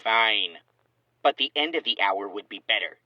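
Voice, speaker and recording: A man's voice: on the low side, expressive, moderate pace, no room sound. A man playing a passive-aggressive alien accounting bureaucrat in an audio comedy, officious and condescending through an intercom filter. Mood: irritated. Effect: radio intercom filter. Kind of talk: scripted audio comedy acting. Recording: dull, dry intercom call.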